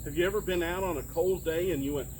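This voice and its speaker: Give the part of a friendly Bible patriarch telling stories to children to a man acting it out. His voice sits a little high, and he chats along, putting questions to his young listeners. The recording is outdoors, with clear insect noise around him. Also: fast talker, close to an everyday voice. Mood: gentle, earnest. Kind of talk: children's storytelling. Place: outdoors among trees and plants.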